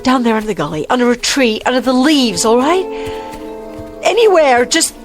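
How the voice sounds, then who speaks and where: A scared, stubborn woman in a radio drama. Her highish voice rises and falls dramatically, anxious and argumentative, with music clearly playing behind her. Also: lively intonation, moderate pace. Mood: terrified. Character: frightened, stubborn, argumentative civilian woman in hiding.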